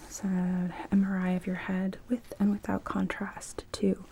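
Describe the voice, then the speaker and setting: Female ASMR performer as a gentle optometrist: soft breathy whispering, dark mellow timbre, unhurried delivery, light background noise. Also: medium pitch. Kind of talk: ASMR medical roleplay.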